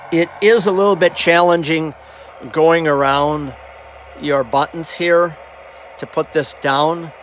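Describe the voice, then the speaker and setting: A man giving a casual, halting, rambling hands-on demonstration as a hobbyist presenter. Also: mid-range voice, fairly bright tone. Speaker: hobbyist presenter showing his CB radio. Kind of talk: casual hands-on show-and-tell. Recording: noisy amateur camera audio. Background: clear background noise.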